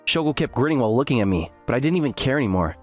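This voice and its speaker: A man's voice: medium pitch, animated, racing, soft background music, close to an everyday voice. A man playing an earnest, self-deprecating graduate student who narrates his story in an anime-style dub. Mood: despairing. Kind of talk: first-person scripted narration with acted dialogue.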